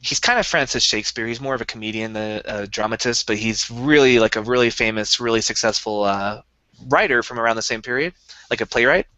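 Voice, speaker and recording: Bright-voiced young man chatting eagerly. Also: mid-range voice, fairly quick, dry recording, poor audio. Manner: rambling, eager explainer with many restarts. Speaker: podcast co-host. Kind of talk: casual chat.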